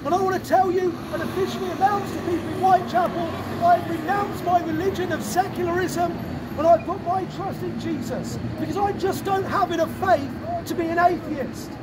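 A middle-aged British man preaching loudly in the open air on a busy city street corner, proclaiming his testimony into a mic. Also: extremely high pitch, steady tone, a little fast, far from the mic. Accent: British English. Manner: loud, proclaiming, repetitive. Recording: loud traffic and crowd noise.

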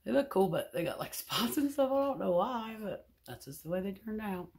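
A woman's voice: medium pitch, very animated, fairly quick, a little mellow, slightly rough. A middle-aged woman, chatting casually to her viewers while cooking in her home kitchen. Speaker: vlogger. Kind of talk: casual home vlog narration. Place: small home kitchen, indoors. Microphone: handheld phone camera.

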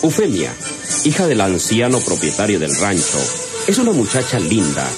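A man's voice: fairly low pitch, wildly swooping pitch, normal speed. A man narrating a radio serial in a dramatic scripted style. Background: music.

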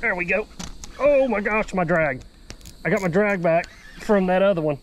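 Young man, high voice, fishing from a kayak outdoors, excitable, faint background noise. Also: normal speed, poor audio. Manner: excitable, exclaims and laughs often. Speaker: fishing vlogger.

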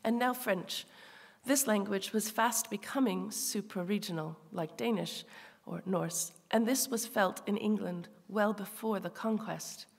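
A woman reading an academic lecture in a measured, scholarly way, with occasional asides to the audience. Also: medium pitch, creaky, slightly rough, big-room sound. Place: large lecture hall.

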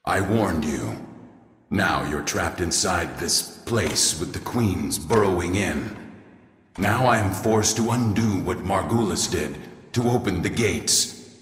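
An actor playing a stern, grave old warrior mentor in a video game, speaking in solemn, cryptic, foreboding lines. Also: male voice, deep voice, animated, takes it slowly. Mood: menacing, reproachful. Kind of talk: scripted video game dialogue. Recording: echoey, distant, with noisy ambience.

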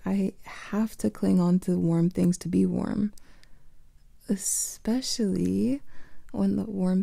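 A woman playing a playful, affectionate vampire lover in an ASMR roleplay, speaking softly and often in whispers into a close microphone. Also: medium pitch, gravelly, no room sound. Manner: soft teasing, often whispered.

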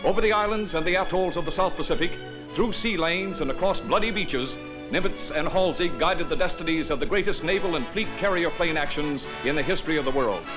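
A man's voice: fairly high pitch, a little fast. A man narrating a 1940s newsreel in a solemn, declamatory announcer's style. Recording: old newsreel soundtrack with music.

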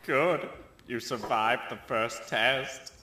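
A man voicing a sadistic servant trainer in an anime parody, slow, deliberate and ominously cheerful. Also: medium pitch, bright, forceful tone. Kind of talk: anime parody dub acting. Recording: echoing, faint noise.

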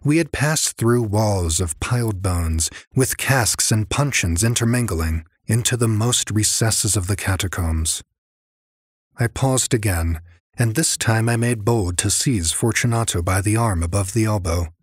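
Slow, husky male gothic narrator. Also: fairly low pitch, no room sound, studio quality. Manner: measured, theatrical. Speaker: audiobook narrator. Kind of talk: dramatic gothic story narration, in character as first-person narrator.

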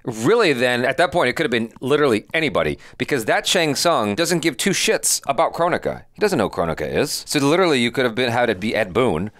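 A man's voice: medium pitch, big pitch swings, quick pace, hardly any pauses, a bit bright, no room sound. A man in his thirties chatting casually and analytically as co-host of a reaction show, on a headset mic. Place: indoor studio room. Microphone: headset boom mic.

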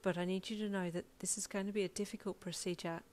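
A woman voicing a frightened but caring female doctor in an audio story, speaking haltingly and pleadingly. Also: on the low side, fairly even intonation, a little mellow, natural voice. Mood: nervous, hesitant. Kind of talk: acted dialogue in an audio story.

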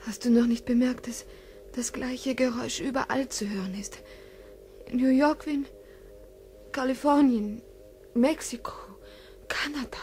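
An actress playing a frightened young daughter in an old radio drama, speaking in a fearful whisper. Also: female voice, high-pitched, steady tone, a little slow, long pauses, darkish tone. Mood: dread. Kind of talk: 1950s radio drama acting. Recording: old 1950s radio recording with hiss.

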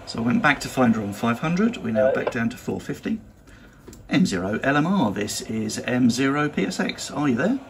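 A man's voice: medium pitch, big pitch swings, normal speed, creaky, noisy background. A British man giving an instructional demonstration as an amateur radio operator and trainer. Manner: spells call signs in phonetic alphabet.

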